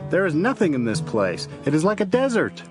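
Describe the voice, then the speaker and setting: An actor playing a worried, urgent male disciple in a Bible audio drama, expressive in delivery. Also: fairly high pitch, brisk, music in the background.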